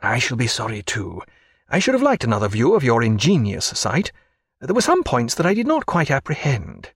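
Man doing a polite ship doctor, formal, calm, kinda soft. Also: mid-range voice, normal speed, husky, dry recording, studio quality, heavily acted. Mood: courteous. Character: thoughtful male ship's doctor, courteous.

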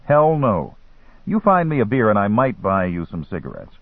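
A guarded American man traveling abroad, a storybook character, read by a male narrator. He is sardonic and dismissive, with a very mobile pitch. The recording is thin and radio-like. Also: very animated, moderate pace, dry recording, phone-quality sound. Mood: sardonic, wary.

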